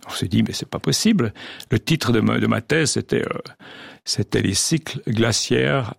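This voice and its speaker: A man's voice: medium pitch, moderate pace, creaky, husky, dry recording, clean studio sound. An older man, a geologist and oceanographer, explains his research in a radio interview, hesitant with many restarts.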